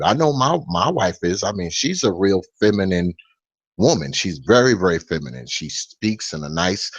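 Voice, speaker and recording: Man, very deep voice, dry sound, blunt, lots of "you know", casual interview guest. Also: no room sound. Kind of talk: casual video interview chat.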